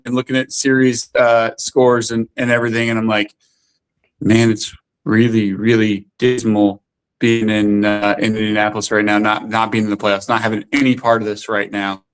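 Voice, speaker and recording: Forties male guest, smooth low voice, rambling and halting delivery. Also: little pitch movement. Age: 40s. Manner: halting, many fillers and restarts. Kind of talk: casual podcast chat.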